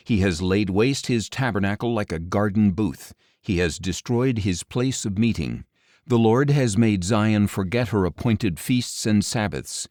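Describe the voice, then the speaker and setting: A man reading scripture as an audiobook narrator in a solemn, grave, measured delivery with deliberate pauses. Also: deep voice, darkish tone, no room sound, studio quality.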